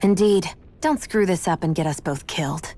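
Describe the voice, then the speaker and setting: A woman's voice: fairly low pitch, normal speed, mellow, natural voice. An actress playing a prickly, haughty young woman fighter in a fantasy video game, curt and aloof. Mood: stern warning. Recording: game music under the voice.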